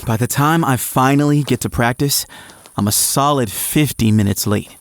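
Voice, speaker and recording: A man's voice: medium pitch, normal speed, natural voice. A man acting as a young college quarterback who narrates an audio drama in an earnest, emotive first person. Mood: frazzled, rueful. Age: young adult. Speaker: narrator and lead character.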